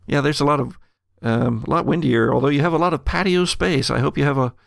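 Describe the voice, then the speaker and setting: A man's voice: on the high side, fast, vocal fry, no echo, studio quality. A man playing a friendly, spacey woman in a tabletop game, chatty and hesitant.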